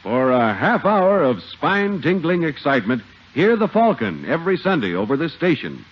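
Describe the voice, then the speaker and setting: A man's voice: a little high, sing-song, normal speed. An American man working as a 1950s radio announcer, reading scripted sponsor copy and credits. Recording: muffled 1950 radio recording.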